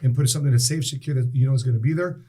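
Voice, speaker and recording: Fast-talking man in his late forties. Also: mid-range voice, husky. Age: 40s. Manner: animated storyteller, repeats phrases for emphasis. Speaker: guest. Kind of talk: casual interview chat.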